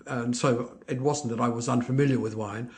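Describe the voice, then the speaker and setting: An older man, a winemaker guest speaking in a hesitant, halting way and often restarting his sentences. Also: medium pitch, fairly even intonation, darkish tone. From Italy, raised in Australia. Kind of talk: conversational interview.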